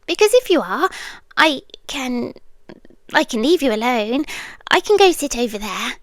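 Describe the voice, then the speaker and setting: Casting: actress to play young British yandere stalker for ASMR roleplay; high-pitched, slow, soft, hesitant, sweetly obsessive. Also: female voice, sing-song, no room sound, heavily acted. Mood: nervous, coaxing. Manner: soft, breathy, hesitant, drifting into whispers. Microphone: close microphone, dry.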